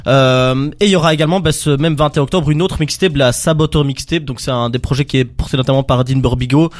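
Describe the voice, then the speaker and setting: A man presenting music release news in a casual panel talk, with a halting delivery full of filled pauses. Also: mid-range voice.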